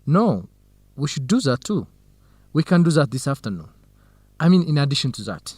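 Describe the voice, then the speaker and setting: An actor playing an earnest, modest young Ethiopian research partner and interpreter in an educational radio drama. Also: male voice, on the high side, sing-song, moderate pace, a little mellow, breathy edge, no room sound. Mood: friendly, earnest.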